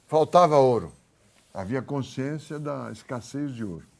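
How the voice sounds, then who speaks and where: Brazilian professor lecturing in a halting, informal way. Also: male voice, medium pitch, animated, creaky. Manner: informal, halting, thinks aloud and corrects himself. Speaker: economics professor. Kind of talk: university lecture, explaining with worked examples.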